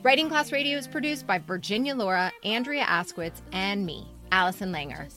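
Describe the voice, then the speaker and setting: A woman's voice: mid-range voice, lively intonation, fairly quick, pushed and bright, soft background music. A woman co-hosting a podcast, moving between casual chat and scripted announcements to listeners. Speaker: podcast co-host and editor. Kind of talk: casual co-host chat and scripted show outro.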